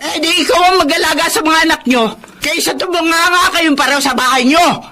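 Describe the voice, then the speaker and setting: An actor playing a sharp, scolding older mother-in-law in a radio drama. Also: extremely high pitch, a little fast. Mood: angry.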